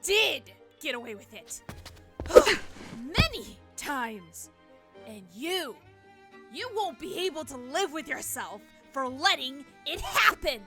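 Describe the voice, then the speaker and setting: A woman's voice: high-pitched, very animated, takes it slowly, fairly bright tone, soft background music, full character voice. A woman playing a cunning, bitter traitor in an audio drama, mocking and theatrical in her delivery. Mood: taunting.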